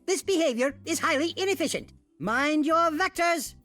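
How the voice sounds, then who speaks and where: An actor playing a small, eccentric, excitable yordle scientist in a video game, with a cartoonish voice and pedantic, theatrical delivery. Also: male voice, normal speed, soft background music, heavily acted. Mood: scholarly, confident. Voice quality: high-pitched cartoonish voice. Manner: pedantic, theatrical, wordy technical phrasing. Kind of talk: video game character voice lines.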